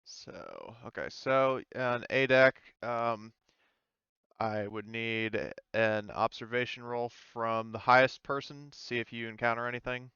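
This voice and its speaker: A man running a tabletop game as game master, narrating casually and hesitantly as he thinks out loud. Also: medium pitch, steady tone, a little slow, clear, resonant tone, no room sound. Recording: slightly dull, dry close recording.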